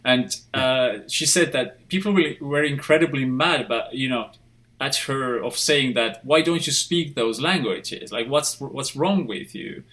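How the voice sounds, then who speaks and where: A man's voice: medium pitch, a little slow, fairly bright tone. A man hosting a podcast interview, talking in a chatty way with lots of fillers and restarts. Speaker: podcast host.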